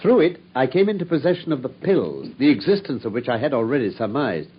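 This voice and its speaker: An actor playing a coolly brilliant detective, explaining his deductions in a precise, measured way. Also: mid-range voice, sing-song, breathy edge. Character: coolly brilliant, self-assured male detective. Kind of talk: 1950s radio drama acting. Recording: dull lo-fi old radio broadcast.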